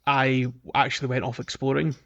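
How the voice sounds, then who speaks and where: A man's voice: mid-range voice, level tone, fairly quick, vocal fry, fairly bright tone, highs cut off. A man co-hosting a casual gaming podcast, often hesitating and rephrasing himself as he talks. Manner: hesitant, self-correcting phrasing. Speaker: podcast co-host.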